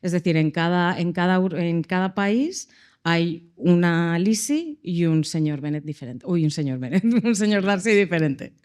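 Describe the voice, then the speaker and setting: A woman's voice: on the low side, lively intonation, normal speed, darkish tone. A woman who works as a production and casting lead, explaining a launch on a panel, fluent with some fillers. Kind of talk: panel answer.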